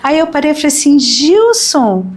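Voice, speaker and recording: Fifties woman hosting a faith podcast; higher pitch, talks things through aloud. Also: moderate pace. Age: 50s. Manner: animated, reflective, many "né?" tags. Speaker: host.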